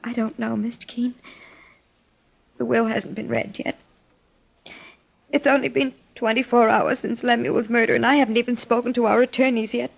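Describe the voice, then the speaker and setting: Refined, anxious widow, muffled vintage radio. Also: female voice, fairly high pitch, fairly even intonation, moderate pace, gravelly, long pauses. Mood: composed. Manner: formal, earnest, melodramatic. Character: refined, grateful, anxious newly widowed wealthy woman. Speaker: radio actor. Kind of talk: old-time American radio drama acting. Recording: muffled old radio broadcast.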